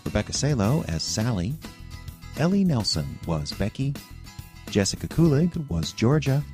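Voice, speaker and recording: A man's voice: on the low side, a little mellow. A man reading scripted credits as the announcer of an audio drama. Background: guitar music.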